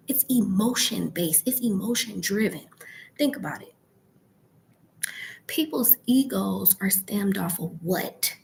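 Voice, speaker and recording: Lady half whispering coaching advice, really slow n emphatic, bit of background noise. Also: mid-range voice, vocal fry, low-quality recording. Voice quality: hushed, partly whispered voice. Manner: emphatic, rhetorical questions and frequent check-ins. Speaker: YouTube branding and e-commerce coach. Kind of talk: informal coaching talk to camera. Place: library.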